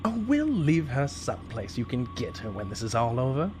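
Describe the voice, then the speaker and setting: A man's voice: mid-range voice, sing-song, moderate pace, over music, lightly acted. A man playing a suave, condescending weather-mage villain in an audio drama, taunting with mock politeness. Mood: smug. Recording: clear background noise.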